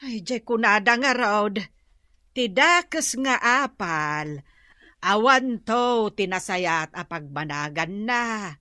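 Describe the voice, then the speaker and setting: A woman's voice: mid-range voice, lively intonation, slow pace, pushed and bright, dry recording, clean studio sound. A woman playing a patient older mother giving sighing advice in an Ilocano radio drama. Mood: resigned advice.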